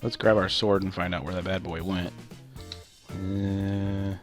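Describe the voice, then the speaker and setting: Low-voiced man, nasal with a cold. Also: level tone, unhurried, soft background music. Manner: rambling, loose explanations with many fillers. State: stuffy nose from a cold. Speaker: gameplay commentator. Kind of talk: casual game walkthrough commentary.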